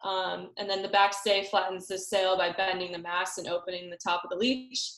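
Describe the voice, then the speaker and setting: A woman's voice: on the low side, fairly even intonation, normal speed, a bit bright. Young female presenter, casual and chatty, echoey video-call sound. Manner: casual, many fillers. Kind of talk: online sailing seminar. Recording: roomy echo on call audio.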